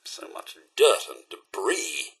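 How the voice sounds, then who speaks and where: A man's voice: fairly low pitch, huge pitch swings, slow, creaky, fairly bright tone, slightly rough, heavily acted. A man voicing a prim, fussy, courteous robot butler in a video game, with a British accent and a polite, theatrical manner. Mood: fussy concern. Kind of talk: video game companion voice lines.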